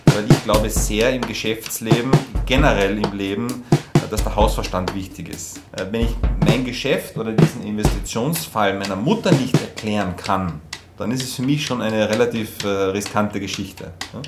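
A man with a slightly low voice talks business in a plain-spoken way, laying out points one after another. Loud drum-driven music runs behind him. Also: normal speed. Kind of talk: explanatory interview talk.

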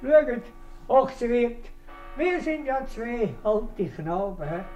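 Shrill, flustered old Swiss magistrate. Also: male voice, lively intonation, slow, a little mellow, highs cut off, soft background music, exaggerated voice. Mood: officious, flustered. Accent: Swiss German. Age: older. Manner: stammering, pompous. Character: flustered, officious old magistrate, easily led. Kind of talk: 1950s radio comedy acting.

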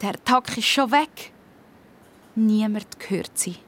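A woman's voice: mid-range voice, slow. A woman with a Swiss accent narrating a scripted audio story for children.

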